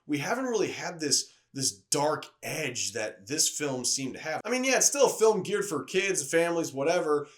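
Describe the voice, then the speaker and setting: Higher-pitched man giving enthusiastic film commentary. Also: big pitch swings, normal speed, few pauses. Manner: enthusiastic, opinionated, conversational. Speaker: movie reviewer. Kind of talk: casual video film review.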